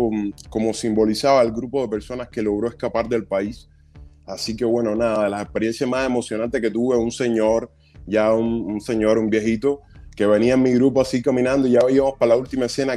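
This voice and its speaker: A man from Cuba, a stage actor, telling stories in an animated, conversational interview. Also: mid-range voice. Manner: animated storytelling with fillers and restarts.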